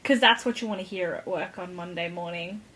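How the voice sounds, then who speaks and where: A woman's voice: fairly low pitch, fairly quick, sharp tone. A woman co-hosting a podcast, chatting casually and cracking wry jokes. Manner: wry, joking. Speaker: podcast co-host. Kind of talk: casual comedic chat.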